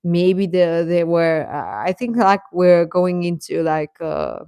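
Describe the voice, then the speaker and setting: A woman hosting a casual fan livestream, rambling and halting as she replies to comments, often with a chuckle in her talk. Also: on the low side, warm tone, clean tone, no room sound, studio quality. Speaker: host of a K-pop fan channel.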